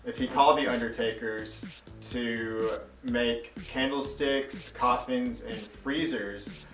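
A man's voice: medium pitch, slow, fairly bright tone, faint music behind. A man in his thirties co-hosting a casual, bantering show, recorded in a small room. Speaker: co-host of a comedy trivia show. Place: small furnished room indoors. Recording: roomy echo, slightly distant mic.

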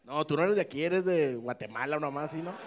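A middle-aged man with a Mexican Spanish accent works the crowd from a small club stage, speaking into a handheld mic. His voice sits high, and his tone is brash and teasing, full of swearing and sudden loud shouts. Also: brisk, background noise. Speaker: stand-up comedian working the crowd. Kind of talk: crowd work stand-up. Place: small comedy club stage with live audience.

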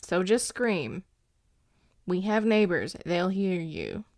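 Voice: medium pitch, sing-song, slow pace, no room sound. An actor playing a grumpy but caring werewolf boyfriend in an intimate audio roleplay, dry and exasperated, with sighs. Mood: sarcastic, teasing. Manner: sighing, dry, exasperated, teasing.

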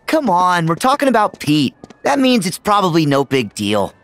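A man's voice: high-pitched, huge pitch swings, moderate pace, fairly bright tone, a little hiss or hum, exaggerated voice. A man playing an upbeat, sincere teenage boy hero in a video game, with a youthful voice. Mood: earnest, determined.

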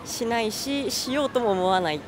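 A woman's voice: a little high, lively intonation, moderate pace. Young woman, twenties, hedging street-interview answer, busy street behind. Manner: thoughtful, hedging with fillers. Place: city street outdoors. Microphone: handheld mic held by interviewer. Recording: street noise outdoors.

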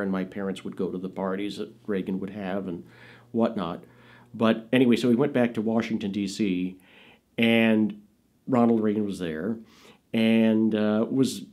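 An American man in his fifties telling chatty anecdotes casually in an interview, as a raconteur. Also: lowish voice. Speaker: interviewee, actor and filmmaker recalling his father. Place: small indoor studio with dark curtains.

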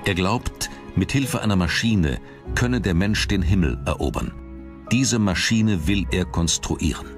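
A man narrating a documentary from a script in a measured, dramatic storytelling manner. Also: sits low, lively intonation. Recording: music under the narration. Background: background music.

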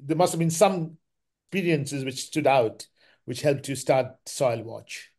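A man's voice: mid-range voice, unhurried, darkish tone. A man hosting a podcast, giving introductions and asking interview questions. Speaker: podcast host.

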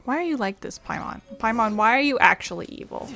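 A woman's voice: a little high, expressive, a little fast, bright, forceful tone. A woman giving casual, rambling, wry commentary as she plays a video game. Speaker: gaming streamer. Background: game music.

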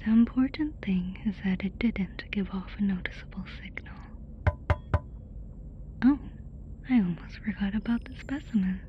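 A woman playing a curious, doting alien fascinated by humans in an ASMR roleplay, whispering softly and breathily in a casual, affectionate way. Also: mid-range voice, moderate pace, pauses often, dull audio, noisy background, natural voice.

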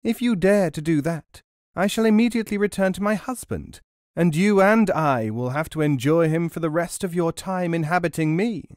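A clever, bold woman of a folk tale acted out by a male narrator, pitched high. The delivery is confident and theatrical, in storybook style. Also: moderate pace, darkish tone, no echo, studio quality, close to an everyday voice. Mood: sly confidence.

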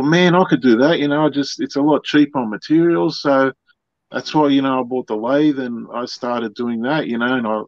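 A man's voice: mid-range voice, a little mellow, no room sound. Man in his thirties, casual chatty style, close dry sound, lo-fi. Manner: informal, often adds "you know". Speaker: podcast guest. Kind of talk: casual chat. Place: indoor workshop on a video call. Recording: dull, dry video-call audio.

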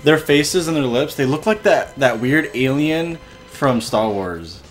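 Young male let's-play host, lively up-and-down voice, excitable, cursing at the game. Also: mid-range voice, measured pace, faint music behind. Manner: excitable, swearing, frustrated outbursts. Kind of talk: casual gameplay commentary.

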